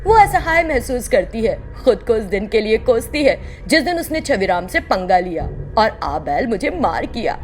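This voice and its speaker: A woman's voice: on the high side, sing-song, normal speed, few pauses, a little mellow, faint music behind. A woman narrator reading a scripted children's tale in a storytelling style.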